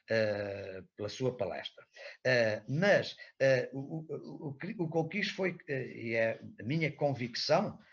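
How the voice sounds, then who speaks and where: A man's voice: mid-range voice, breathy edge, dull audio. An older man gives an academic talk as a professor, in long erudite sentences broken by hesitations and repeated words. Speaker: professor and author speaking at an academic seminar. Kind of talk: academic talk, semi-improvised lecture.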